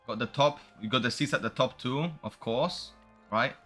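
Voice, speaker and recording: A man's voice: mid-range voice, moderate pace, fairly bright tone, soft background music. A man giving casual, slangy, reactive gameplay commentary as a streamer. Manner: slangy, reactive, bro-heavy talk. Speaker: gaming streamer reacting to a playthrough. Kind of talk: casual gameplay commentary, reading dialogue aloud.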